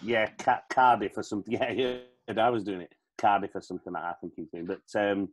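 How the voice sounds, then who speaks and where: A man's voice: fairly low pitch, fairly even intonation, fast talker. A man chatting casually and jokily as a podcast guest, a pantomime performer. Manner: chatty, joking. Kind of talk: casual jokey chat.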